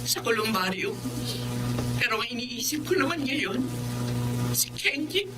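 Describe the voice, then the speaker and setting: A woman's voice: fairly high pitch, expressive, unhurried, low-quality recording. An actress playing a grieving, anxious mother, speaking tearfully over a music bed. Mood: worried. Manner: tearful, pleading. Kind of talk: Filipino radio-style drama.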